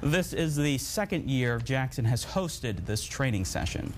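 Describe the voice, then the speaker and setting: A man's voice: mid-range voice, sing-song, measured pace, slight background noise. A man with an American accent reading scripted news as an anchor.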